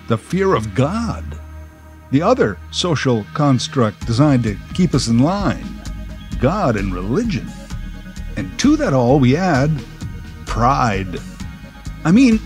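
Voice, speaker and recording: Sardonic middle-aged man, lively pitch. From Canada. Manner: dry, sardonic, opinionated. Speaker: podcast host. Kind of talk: personal monologue podcast.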